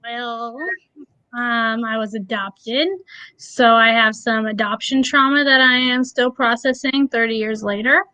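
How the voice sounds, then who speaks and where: Bright high-voiced woman guest in her thirties. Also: level tone, a little slow, clear, resonant tone, no room sound, low-quality recording. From born in a Russian-speaking country, adopted to the US at six. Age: 30s. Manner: open, reflective, light nervous laughs. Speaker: guest, preschool educator and aspiring life coach. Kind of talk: candid personal interview.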